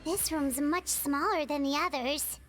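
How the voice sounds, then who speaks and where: A woman voicing a tiny, excitable childlike companion in a video game, squeaky, wide-eyed and expressive. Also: measured pace, soft background music. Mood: curious. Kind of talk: scripted video game voice acting.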